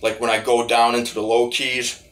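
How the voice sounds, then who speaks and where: A man giving a casual, rambling demo of music gear, halting and repeating his phrases as he goes. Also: mid-range voice, little pitch movement. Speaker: hobbyist musician reviewing talk box gear.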